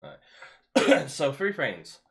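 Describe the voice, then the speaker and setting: A man's voice: on the high side, huge pitch swings, slow pace, creaky, fairly bright tone. A man hosting a casual live stream, speaking hesitantly with fillers and reading out viewer comments. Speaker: show host.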